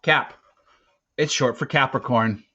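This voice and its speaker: An earnest young teenage boy from a novel, read by a man. He is plain-spoken and insistent, defensive when doubted, with a raised pitch. The recording is slightly dull. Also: takes it slowly, bright, forceful tone. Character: earnest, sheltered thirteen-year-old hippie boy, defensive and literal. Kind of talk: acted dialogue in an audiobook read-aloud.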